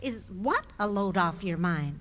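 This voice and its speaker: An actress playing a patient, gently teasing middle-aged wife in a live 1940s-style radio comedy, speaking sweetly and coaxingly. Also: female voice, mid-range voice, expressive, unhurried, background noise, natural voice. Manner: sweet, coaxing, says dearie.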